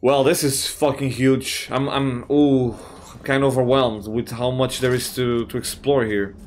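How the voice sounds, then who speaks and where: A man, slightly raspy from just waking up, giving casual let's-play commentary on a horror game, swearing often and thinking out loud. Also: mid-range voice, little pitch movement, a little slow, a little hiss or hum. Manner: swears often, thinks aloud, reacts with surprise. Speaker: gamer playing a horror game.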